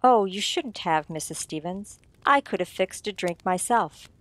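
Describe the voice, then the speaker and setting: A shrewd writer-sleuth from a radio mystery, acted by a woman, lays out each point with theatrical relish. The tone is condescending and deliberate, in a medium-pitched voice with a dry, close sound. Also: expressive, fairly bright tone, no echo. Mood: smugly accusing. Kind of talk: old-time radio mystery acting.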